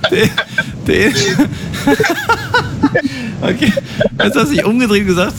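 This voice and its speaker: A man's voice: sits high, animated, a little slow, vocal fry, noisy background. A male radio host chatting casually with callers on a late-night call-in show, often repeating words and adding "ne?".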